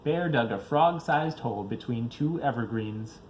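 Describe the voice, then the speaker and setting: A man's voice: mid-range voice, lively intonation, a little slow, slight background noise. A father reading a children's picture book aloud, expressively, varying his pace for young listeners.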